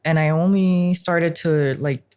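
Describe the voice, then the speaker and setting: A young woman from Singapore, a type designer talking as a podcast guest, chatty and quick to laugh. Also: low-pitched, steady tone, darkish tone. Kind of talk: casual podcast conversation.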